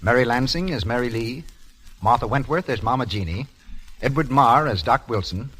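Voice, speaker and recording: A man's voice: mid-range voice, moderate pace, fairly bright tone, slightly rough, rough recording. A man with an American accent reading scripted announcements and advertising copy as a 1930s radio announcer.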